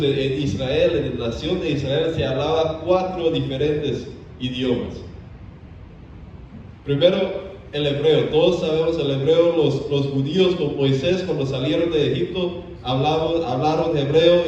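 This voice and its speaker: A man teaches a church seminar and pauses often on "eh" as he goes. He is heard from a distance in a strongly echoing church. The sound is dull and muffled, with faint noise underneath. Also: on the high side, a little mellow. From Belize. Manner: frequent "eh" hesitations.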